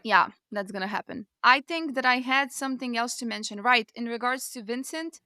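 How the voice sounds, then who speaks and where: A woman podcast co-host chats casually about books in a bright, fairly high voice. She gushes with enthusiasm and sprinkles her sentences with filler phrases. The sound is smooth and close, with very little room in it. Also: normal speed, no echo. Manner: gushing, enthusiastic, peppered with 'like' and 'you know'. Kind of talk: casual book discussion chat.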